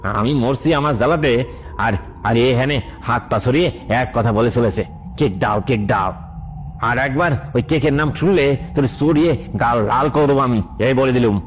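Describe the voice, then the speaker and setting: A man's voice: medium pitch, normal speed, soft background music, close to an everyday voice. A man voicing an irritable, grumbling village father who is short on patience in a children's cartoon. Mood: angry scolding. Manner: grumbling, exasperated, dismissive.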